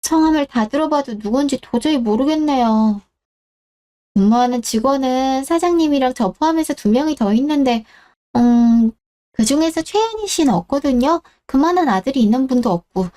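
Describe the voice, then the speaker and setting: A woman's voice: high-pitched, animated, moderate pace, mellow. A woman playing a polite, considerate supermarket clerk in a radio drama. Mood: apologetic, helpful. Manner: courteous, considerate. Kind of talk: Korean radio-drama story acting.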